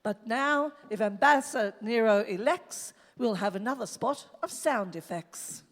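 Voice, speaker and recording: A woman's voice: fairly high pitch, expressive, a little slow. A woman giving scripted, rhyming narration for a radio play. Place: stage in a hall. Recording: hall with long reverb.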